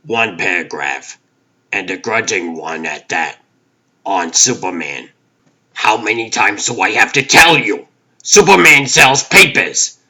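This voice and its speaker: Man playing a gruff, husky newspaper boss. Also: fairly low pitch, expressive, unhurried, bright, forceful tone, full character voice, rough recording. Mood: irritated, demanding. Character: gruff, demanding middle-aged male newspaper editor. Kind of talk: comic dub voice acting.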